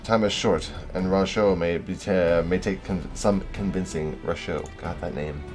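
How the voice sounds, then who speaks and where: Deep-voiced man streaming a game. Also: steady tone, music in the background. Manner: halting, restarts words, swears and laughs in asides. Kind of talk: reading game dialogue aloud on a live stream.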